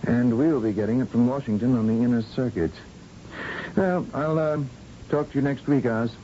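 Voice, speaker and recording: A man's voice: normal speed, dark tone, natural voice. An actor playing a weary, grim male scientist in a radio drama, with a blunt, resigned manner. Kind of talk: 1970s-style radio drama acting. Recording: muffled, noisy old radio broadcast with rumble.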